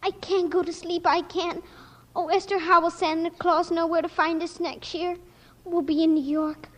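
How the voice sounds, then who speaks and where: A woman's voice: very high voice, flat delivery, moderate pace, a bit bright. An actress playing a stubborn, melodramatic little girl in a 1940s radio drama, plaintive and insistent. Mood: anxious. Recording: dull old radio recording.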